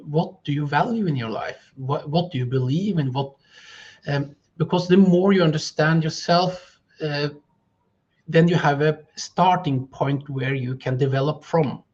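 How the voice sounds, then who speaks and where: A man whose first language is Norwegian, speaking English as an interview guest, thoughtful and pausing with fillers. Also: a little high, darkish tone, lo-fi sound. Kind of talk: interview conversation.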